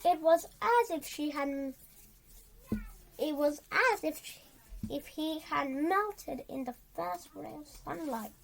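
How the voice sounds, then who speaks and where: A child reading a storybook aloud with dramatic emphasis, halting and repeating words along the way. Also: high voice, gravelly.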